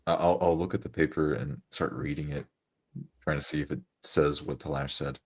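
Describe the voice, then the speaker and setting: A man at a tabletop game, tentatively and haltingly narrating what his character does. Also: rumbling low, fairly even intonation. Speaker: tabletop roleplay player. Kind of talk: improvised narration of own character's actions.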